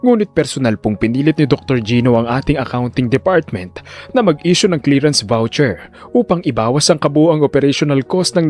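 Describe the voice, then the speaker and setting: A man playing a falsely courteous hospital director in an audio drama, formal and soft-spoken, feigning regret. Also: medium pitch, close to an everyday voice. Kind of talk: Filipino audio drama acting.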